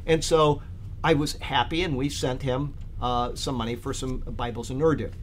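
A man's voice: medium pitch, steady tone, moderate pace, a little hiss or hum. A man teaching a Bible study in a loose, conversational way, rambling with frequent fillers and restarts. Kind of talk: casual Bible study talk.

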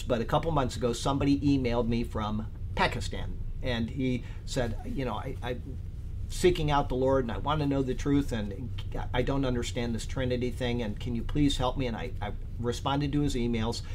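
A man's voice: medium pitch, a little hiss or hum. A man teaching a Bible study in a loose, conversational way, rambling with frequent fillers and restarts. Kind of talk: casual Bible study talk.

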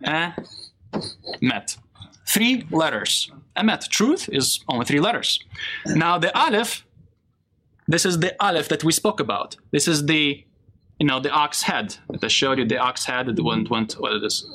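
Animated man teaching, bright voice. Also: a little high, measured pace, slight background noise. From Israel. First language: Hebrew. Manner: animated, asks the audience questions. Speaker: Bible teacher. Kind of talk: classroom lesson with a live audience.

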